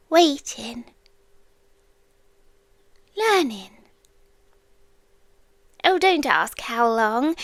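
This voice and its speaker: Ancient possessive female stalker for ASMR roleplay, played by a woman: British, very slow, eerily tender, slipping into whispers, close and dry. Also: sits high, dry recording, heavily acted. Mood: sly, hushed. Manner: slow, hushed, often slipping into whispers, teasingly menacing. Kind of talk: ASMR roleplay, intimate dramatic monologue. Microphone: close-up ASMR microphone, dry.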